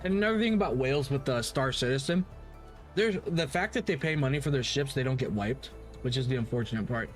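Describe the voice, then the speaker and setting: A man's voice: mid-range voice, expressive, quick pace, soft background music. A man giving casual livestream commentary on games as the host, rambling and opinionated, with casual swearing.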